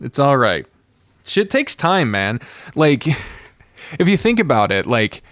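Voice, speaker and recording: A man's voice: mid-range voice, dry recording, clean studio sound. A man hosting a solo podcast about games, casually thinking aloud in a rambling, sweary commentary. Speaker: podcast host.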